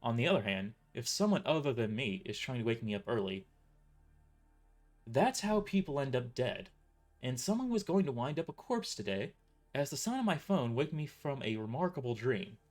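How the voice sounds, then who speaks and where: A man's voice: mid-range voice, big pitch swings, moderate pace, faint music behind. A man narrating his own story in the first person as a scripted audio drama. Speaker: author and narrator.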